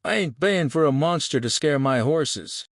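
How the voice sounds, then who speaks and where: A man voicing a scornful, rough frontier man in a western audiobook, jeering with folksy bluntness. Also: fairly high pitch, expressive, normal speed, no echo, studio quality, pitched above the performer's own voice. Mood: mocking scorn. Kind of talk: audiobook character acting.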